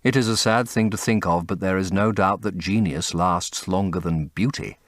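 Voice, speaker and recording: A man's voice: low voice, normal speed, no echo, clean studio sound, voice pushed down, lightly acted. Man acting languid, witty aristocrat. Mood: languid, musing. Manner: languid, aphoristic, urbane. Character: languid, witty male aristocrat, cynical and amused. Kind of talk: dramatic audiobook character acting.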